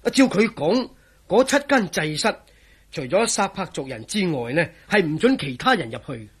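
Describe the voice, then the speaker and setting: A man playing a scoffing, jovial friend in a radio drama, teasing with drawn-out exclamations. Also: on the high side, big pitch swings, slightly rough, full character voice. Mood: scoffing, amused. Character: scoffing, jovial man, mocking a believer in legends. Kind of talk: Cantonese radio drama acting.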